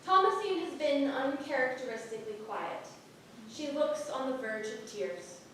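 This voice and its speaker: A woman narrating stage directions at a staged play reading, in a measured, wry way. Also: high-pitched, expressive, a bit bright. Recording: echoey theatre, distant mic, clear background noise.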